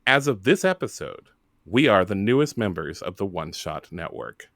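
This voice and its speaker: A man's voice: low voice, very animated, moderate pace, gravelly, fairly bright tone, no room sound, studio quality. A man hosting a podcast in a casual, chatty style. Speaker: podcast host.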